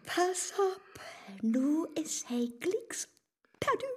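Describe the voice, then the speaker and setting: An actress playing a gleeful, scheming neighbour in a radio comedy, exclaiming in a Northern German accent. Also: female voice, very high-pitched, very animated, drawn-out words, a little mellow, soft background music, heavily acted. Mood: triumphant. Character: gleeful, scheming neighbour woman plotting against the devil.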